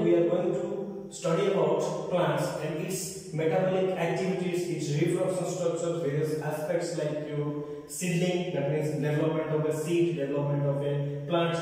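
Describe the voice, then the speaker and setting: A man's voice: a little high, dark tone. A young man giving a whiteboard biology lecture and explaining step by step, with repeated phrases and fillers, picked up by a far camera in an indoor classroom. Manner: repetitive explanatory teaching with fillers. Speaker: biology teacher. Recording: echoey room, distant mic.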